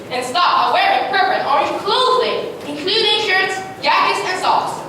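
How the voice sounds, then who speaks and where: A woman with a high voice reads scripted narration for a reader's theatre piece. She is recorded from a distance in a very echoey room, with clear noise behind her.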